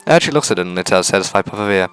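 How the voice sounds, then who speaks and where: A man's voice: deep voice, animated, racing, fairly bright tone. A man reading a visual novel aloud as a let's player, in a narrating style with casual reactions, over game music. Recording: music behind the voice.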